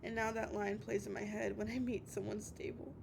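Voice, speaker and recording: A woman's voice: level tone, gravelly. A woman playing an obsessive, heartbroken young woman on a late-night call, stammering and repeating herself in a dramatic audio roleplay monologue. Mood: scared, pleading. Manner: halting, stammering, repeating words. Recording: noisy with low rumble.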